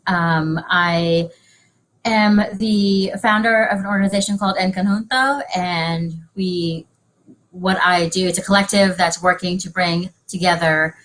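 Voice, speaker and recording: A woman's voice: on the low side, measured pace, a bit bright, rough recording. A young woman from Venezuela casually introducing herself as a panel guest on a video call from a room at home. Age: young adult. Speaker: panel guest, organization founder. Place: small room at home, indoors. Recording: clear background noise, room ambience.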